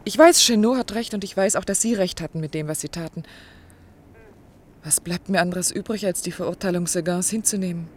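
An actress playing an anguished, dutiful clinic worker in love in a German radio drama, earnest, formal and restrained. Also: female voice, on the low side, brisk, slight background noise, close to an everyday voice. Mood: resigned, bitter. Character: anguished, resigned, dutiful woman working at a clinic, in love with a doctor.

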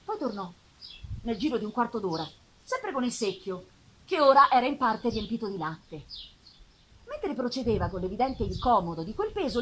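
A woman giving an expressive, dramatic literary reading with pauses and drawn-out phrases, performed live on stage. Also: on the high side, husky. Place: live theatre festival performance. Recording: live music behind the voice. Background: live musical accompaniment.

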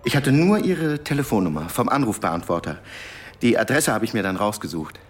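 An actor playing a grieving middle-aged father in a radio drama, halting and subdued. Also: lowish voice, big pitch swings, soft background music. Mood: grieving, searching.

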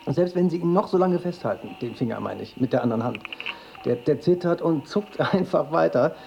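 Creaky, nervous hypochondriac office man. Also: on the high side, dark tone. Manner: rambling, hesitant, flustered. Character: nervous hypochondriac male office worker rehearsing excuses. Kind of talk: naturalistic radio play acting. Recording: slightly muffled with faint background noise.

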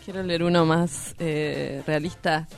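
A woman's voice: low voice, steady tone, takes it slowly, soft background music. A woman with a Rioplatense Argentine accent co-hosting an informal radio show and reading out items. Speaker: radio co-host. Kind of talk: casual radio chat, reading listener messages.

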